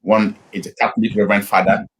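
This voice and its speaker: Low-voiced husky man from Nigeria. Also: little pitch movement. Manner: unhurried, with frequent fillers and restarts. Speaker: pastor and guest. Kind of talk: casual interview storytelling. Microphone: video call.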